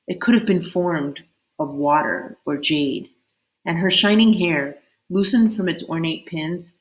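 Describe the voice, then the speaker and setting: Female read-aloud host, slightly low voice, expressive and dramatic narration of children's fiction, roomy sound. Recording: roomy, echoing indoor sound.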